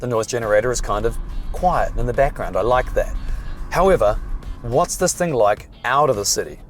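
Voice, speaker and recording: A hoarse man, chatting and joking as he reviews a car while driving it. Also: mid-range voice. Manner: chatty, dry jokes. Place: inside a moving car.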